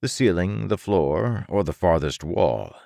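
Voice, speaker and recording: A low-voiced man reads dramatic fiction as an audiobook narrator. His delivery is slow and deliberate, with an expressive storytelling rise and fall and a very dry, close sound. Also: vocal fry, dry recording.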